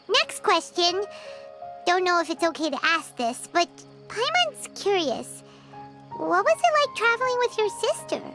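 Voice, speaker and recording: A tiny, loyal fairy companion from a video game. The voice is squeaky, childlike and extremely high, with lively, swooping intonation and eager exclamations. Prominent music plays behind it. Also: female voice, exaggerated voice. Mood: sympathetic. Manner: refers to self in third person, exclamatory.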